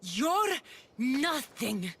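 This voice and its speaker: An actress playing a bitter, defiant warrior champion in a video game, challenging and intense in manner. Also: female voice, high-pitched, very animated, very slow, fairly bright tone, husky, exaggerated voice. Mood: furious taunting. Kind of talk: dramatic video game voice acting.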